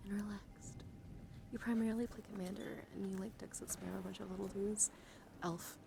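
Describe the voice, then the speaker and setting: A young woman streaming ASMR, chatting playfully and teasingly to viewers in a coddling way, drifting between soft talk and whispers close to the microphone. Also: mid-range voice, fairly even intonation, normal speed, vocal fry, noisy background. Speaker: live streamer. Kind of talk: ASMR livestream with casual chat to viewers.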